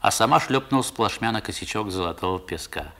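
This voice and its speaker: Casting: deep, measured, reflective narrator for a 1960s literary radio drama. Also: male voice, expressive, a bit bright. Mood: wistful, descriptive. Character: reflective grown man recalling his boyhood, narrator.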